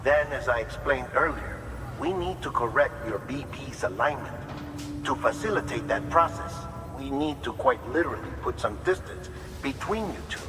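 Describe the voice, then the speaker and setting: A man's voice: medium pitch, animated, normal speed, background noise, close to an everyday voice. Actor as a clinical male expert in echoing hall. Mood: clinical, calm. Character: calm, clinical male expert explaining a procedure. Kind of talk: dramatic video game dialogue. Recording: echoey hall, music behind.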